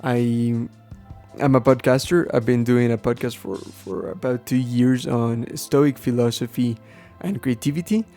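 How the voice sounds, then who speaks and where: A man whose first language is Spanish, explaining things casually and haltingly as a podcast host, thinking aloud as he goes. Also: mid-range voice, vocal fry, warm tone, soft background music. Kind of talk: casual unscripted explaining to listeners.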